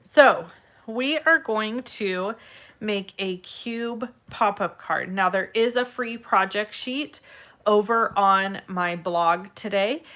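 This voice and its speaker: A woman with a slightly raspy, congested voice hosts a casual step-by-step craft tutorial, addressing viewers in a friendly, direct way. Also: medium pitch, a bit bright. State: congested, irritated throat from allergies.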